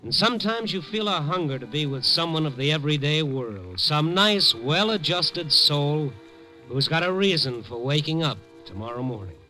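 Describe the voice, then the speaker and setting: A man's voice: fairly high pitch, expressive, normal speed, pushed and bright, low-quality recording. A man playing a hard-boiled newspaper reporter who narrates in a wry, streetwise 1950s radio drama style. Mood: world-weary. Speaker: narrator and lead. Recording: old radio broadcast with music.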